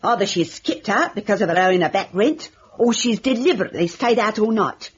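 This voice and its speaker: An actress playing a bossy, indignant boarding house landlady who scolds in rough working-class grammar, in old radio drama style. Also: mid-range voice, lively intonation, brisk, natural voice. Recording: dull old radio recording, faint noise.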